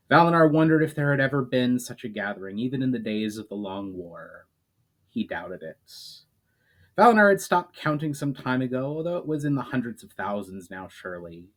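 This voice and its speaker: Man reading his own fantasy novel aloud. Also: mid-range voice. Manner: hesitant intro with many fillers, steady storytelling read with small restarts. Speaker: self-published author.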